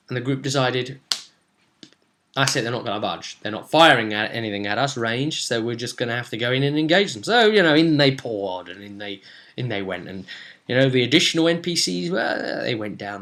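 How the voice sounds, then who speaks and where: A young adult man casually recapping a tabletop game session as its game master, in a wry, animated, digressive way, recorded at a desk in a home room. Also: mid-range voice. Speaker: tabletop role-play game master and vidcast host. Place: small indoor room at home.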